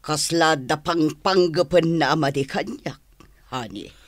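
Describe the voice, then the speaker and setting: A woman's voice: low voice, a little slow, no echo. A woman with an Ilocano accent acting in a radio drama as a coquettish, money-loving wife who wheedles. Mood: coquettish, wheedling.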